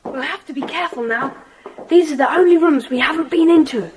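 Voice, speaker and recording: A woman playing an earnest, expressive schoolboy in a children's audiobook drama. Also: high-pitched, brisk, crowd noise, lightly acted. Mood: tense, excited. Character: Jack, earnest schoolboy in a secret club.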